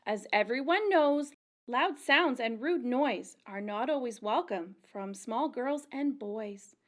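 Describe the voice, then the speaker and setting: A woman reading a rhyming picture book aloud to young children as a storytime librarian, with an expressive, deliberate, sing-song storyteller delivery. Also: a little high.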